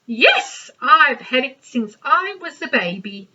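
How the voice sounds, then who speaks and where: A woman voicing a cheerful, confident young female hippo in a storybook, with exuberant, drawn-out exclamations. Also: sits high, very animated, sharp tone, lo-fi sound, full character voice. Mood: proud.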